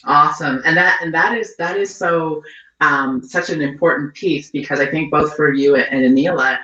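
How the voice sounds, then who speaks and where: A woman's voice: deep voice, normal speed. A woman from Trinidad hosting a panel discussion, addressing her guests with affectionate names. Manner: warm-hearted and affectionate with guests. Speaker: panel host. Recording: echoey, distant, dull audio.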